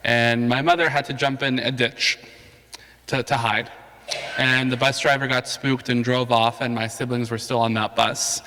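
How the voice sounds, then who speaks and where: Man at a forum, bright crisp voice, reverberant hall. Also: mid-range voice, little pitch movement, normal speed. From Somalia. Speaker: campaign surrogate speaker. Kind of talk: personal story at a public forum.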